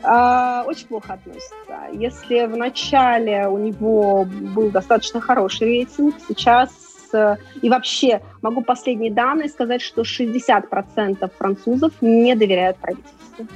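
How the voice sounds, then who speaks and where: A woman's voice: a little high, normal speed, darkish tone, muffled recording, faint music behind. A middle-aged woman from Russia with a Russian accent, chatting as an interview guest and tour guide in a casual, talkative way.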